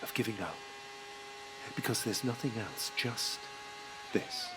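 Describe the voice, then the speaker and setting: A man's voice: mid-range voice, wildly swooping pitch, a little slow, noisy background. A man giving scripted dramatic narration in an intense, rhythmic way. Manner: intense, rhythmic, second-person at times.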